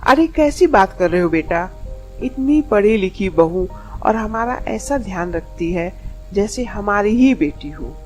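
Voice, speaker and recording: A woman's voice: mid-range voice, expressive, unhurried, faint music behind, lightly acted. A woman playing a trusting, doting older mother-in-law in a Hindi moral story. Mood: trusting, content.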